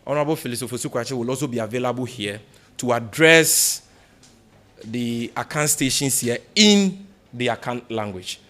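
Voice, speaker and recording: Male political spokesperson, Ghanaian accent, medium register, formal and deliberate press-conference delivery with emphatic repeats. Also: mid-range voice, lively intonation, fairly bright tone. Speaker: opposition party spokesperson at a press briefing. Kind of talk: press conference remarks, answering questions.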